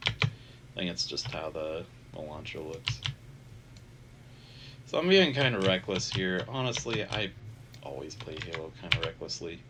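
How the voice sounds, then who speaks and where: A man's voice: low-pitched, animated, measured pace, long pauses, very noisy. A tired man with a congested, nasal voice, rambling casually through gameplay commentary on a headset mic. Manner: rambling, many filler sounds, self-deprecating. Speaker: gaming channel host. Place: home bedroom. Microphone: gaming headset. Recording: clear keyboard clicking behind. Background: keyboard and mouse clicks.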